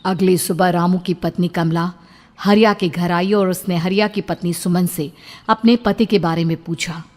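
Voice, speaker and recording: A woman's voice: on the low side, fairly even intonation, moderate pace, a little mellow. A woman delivering scripted narration of a horror story. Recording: clear music under the voice.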